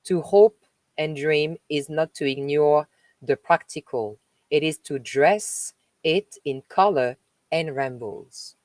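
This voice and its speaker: A woman from France with a French accent, a spiritual host reading a text aloud and stopping for chatty asides, with slow, emphatic delivery. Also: low-pitched, a little mellow, no echo. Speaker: spiritual live-stream host. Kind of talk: reading aloud with spoken commentary.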